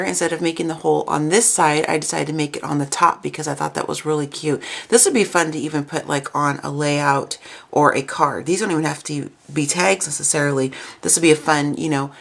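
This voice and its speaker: A woman with a low, creaky voice hosts a casual craft video. She sounds raspy, as if coming down with a cold, and explains things in a chatty, informal way.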